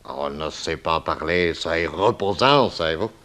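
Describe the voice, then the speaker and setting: A man's voice: low-pitched, expressive, a little slow, a bit bright, heavily acted. An actor playing a genial, chatty Belgian man with a Belgian French accent and folksy tag words, in a 1960s French radio play. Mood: jovial, matter-of-fact. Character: genial, chatty Belgian armless former ink maker.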